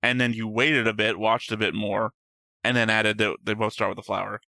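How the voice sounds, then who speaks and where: A man's voice: fairly low pitch, little pitch movement, a little fast, sharp tone, dry recording. A man co-hosting a reaction show, casually teasing and mocking his friend while they argue. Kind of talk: casual banter reacting to anime.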